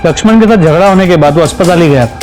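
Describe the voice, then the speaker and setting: Keen junior cop, played by a man, rapid delivery, reporting to his superior in a TV crime serial, loud score behind. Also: sits high, lightly acted, rough recording. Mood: matter-of-fact. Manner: brisk, deferential, matter-of-fact. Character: keen male police subordinate briefing his boss. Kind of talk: Hindi TV crime drama acting. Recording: loud dramatic music under dialogue.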